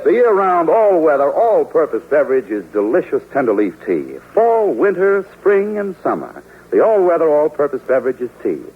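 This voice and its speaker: A man announcing a 1940s radio show and reading an emphatic commercial sales pitch. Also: huge pitch swings, normal speed, soft background music. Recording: tinny old radio broadcast.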